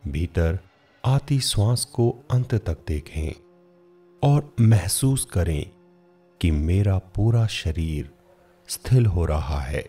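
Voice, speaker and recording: A man narrating a scripted guided meditation in a measured, instructional manner with frequent pauses. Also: low voice, lively intonation, dark tone, husky, soft background music.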